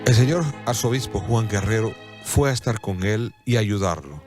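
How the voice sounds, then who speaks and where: Low-voiced solemn man narrating over music. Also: lively intonation. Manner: solemn, measured storytelling. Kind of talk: scripted radio drama narration. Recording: clear music bed behind.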